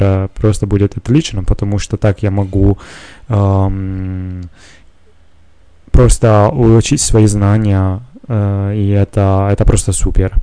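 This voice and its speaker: A man's voice: lowish voice, dark tone, smooth, no echo. A man from Italy with an Italian accent, a non-native speaker hosting a solo podcast, talking hesitantly with many filler sounds. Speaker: podcast host. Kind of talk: solo podcast monologue in a learned language.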